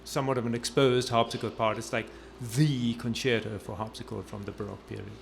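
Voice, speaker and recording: Gravelly-voiced male interviewer, off-mic. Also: medium pitch, fairly even intonation, a little fast, slight background noise. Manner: brief, gentle prompts and murmured reactions. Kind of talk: interview questions.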